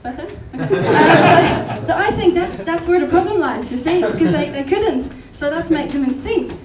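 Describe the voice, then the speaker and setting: A woman with a Dutch accent performing a rambling, hesitant stand-up set in a deadpan, absurd way. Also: very high voice, normal speed, echoey room, recorded from a distance, crowd noise. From Netherlands. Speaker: stand-up comedian. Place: comedy club stage. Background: audience laughter.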